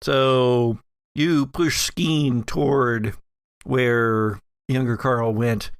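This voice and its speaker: A man narrating a tabletop horror game as game master, with halting, descriptive storytelling. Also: mid-range voice, smooth, no echo, studio quality.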